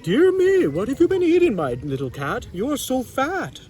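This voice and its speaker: A man's voice: extremely high pitch, huge pitch swings, moderate pace, a little mellow, distant mic, voice pushed up, exaggerated voice. A man voicing an astonished, fussy parson in a children's storybook. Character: astonished passerby parson fussing over fat cat. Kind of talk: children's storybook read-aloud.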